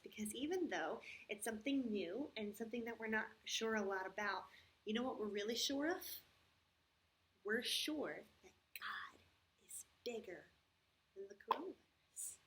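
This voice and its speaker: A woman with a fairly high voice speaks as a children's storyteller. She uses simple, patient phrasing and puts expression into her words, often checking in with questions as if to very young listeners. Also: fairly bright tone, low-quality recording. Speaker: children's church story host. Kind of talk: storytime for young children, talking to a puppet and reading aloud.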